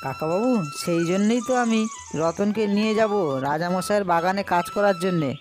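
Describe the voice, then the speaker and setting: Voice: mid-range voice, moderate pace, close to an everyday voice. A voice actor playing an eager, polite young male villager in a cartoon, with plenty of music behind. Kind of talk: cartoon voice acting.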